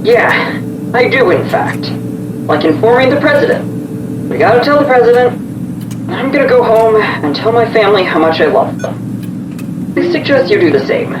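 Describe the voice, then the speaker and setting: An actor playing an alarmed, sardonic government official in an audio drama, incredulous and emphatic. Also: male voice, sits high, lively intonation, normal speed, pauses often. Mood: urgent, grim. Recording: roomy, distant, dull, noisy vehicle ambience.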